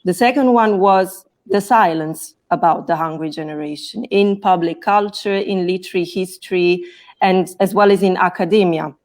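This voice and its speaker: A woman's voice: lowish voice, normal speed, a little mellow. A woman from Italy with an Italian accent, explaining her academic path carefully as a guest on a video call. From Naples, Italy. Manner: careful, explanatory, restarts to rephrase. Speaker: scholar, guest. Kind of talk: explaining in an interview.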